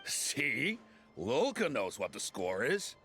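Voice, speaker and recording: A man's voice: medium pitch, wildly swooping pitch, slow, bright, forceful tone, faint music behind, full character voice. An actor playing a cantankerous, teasing townsman in a video game, with a needling, exaggerated delivery. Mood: grumbling, teasing. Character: cantankerous, teasing male townsman, loud-mouthed customer.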